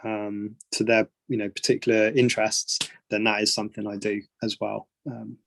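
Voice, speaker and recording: A man's voice: fairly low pitch, level tone, moderate pace, vocal fry, a bit bright, slight background noise. A man from England, a photography coach, answering questions casually on a video call with many fillers and restarts.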